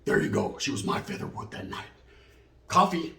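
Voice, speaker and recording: A man's voice: on the low side, wildly swooping pitch, moderate pace, creaky, pushed and bright. A man with a Chicano Californian American accent telling a comedic story casually, in a streetwise, slangy way peppered with Spanish words. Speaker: comic storyteller. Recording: roomy, echoey sound.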